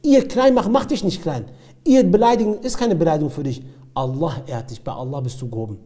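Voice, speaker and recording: A man with a fairly high, very mellow voice gives an Islamic sermon. He speaks emphatically, repeats key phrases and puts rhetorical questions to his listeners. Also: quick pace. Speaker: Islamic preacher. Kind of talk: religious lecture with recited Arabic verses and retold stories.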